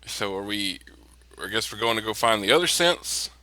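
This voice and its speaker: A man with a lowish voice and a bright, pressing edge plays a tabletop role-playing game. He talks casually in character, halting and doubling back on his words. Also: animated, no room sound, rough recording.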